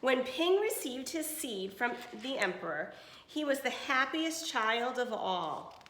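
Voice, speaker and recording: Higher-voiced woman reading aloud in an echoey room. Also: a little hiss or hum. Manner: animated storytelling, pausing to explain and question listeners. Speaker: teacher reading a picture book aloud. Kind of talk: expressive children's read-aloud with asides and explanations.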